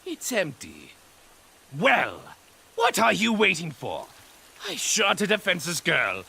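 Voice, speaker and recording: An actor playing a manic, theatrical supervillain clown in a comic dub, unhinged and swinging between shouts and mutters. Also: male voice, high-pitched, huge pitch swings, slow pace, vocal fry, breathy edge, exaggerated voice. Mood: bitter, goading. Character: manic, theatrical middle-aged male supervillain clown madman.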